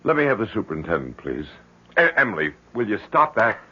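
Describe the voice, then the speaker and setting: Casting: fretful, protective middle-aged dad, low husky voice, stammering, muffled vintage radio drama. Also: male voice, big pitch swings, fast, sharp tone, exaggerated voice. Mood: nervous, flustered. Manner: flustered, stammering, impatient. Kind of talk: 1970s American radio drama acting. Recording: muffled 1970s radio recording.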